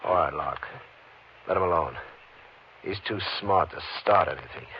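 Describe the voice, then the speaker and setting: An actor playing a menacing, hard-boiled gang boss in a 1950s radio western, giving curt, threatening commands. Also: male voice, low-pitched, normal speed, slightly rough. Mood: cold, threatening. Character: hard-boiled gunman, bossy, menacing smuggler gang leader. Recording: hissy, muffled old 1950s radio recording.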